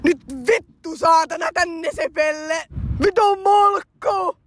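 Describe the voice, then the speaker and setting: A young woman fan-dubbing characters with shouty, swearing delivery. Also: very high-pitched, fairly even intonation, heavy background noise. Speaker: fan dubber voicing several characters. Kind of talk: amateur fan dub acting.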